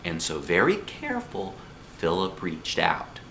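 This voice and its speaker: A man reading a children's story aloud in an expressive, playful, animated manner. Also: sits low, unhurried, gravelly, echoey room, slight background noise. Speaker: storybook reader.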